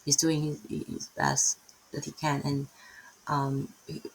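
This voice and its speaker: A middle-aged woman with a Filipino accent speaks in a low, gravelly and husky voice. She teaches scripture casually, wandering off topic and tagging her points with "right?". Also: big pitch swings, slow, low-quality recording. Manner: rambling, self-interrupting, tags many lines with "right?". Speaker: Bible teacher. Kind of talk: casual unscripted Bible teaching.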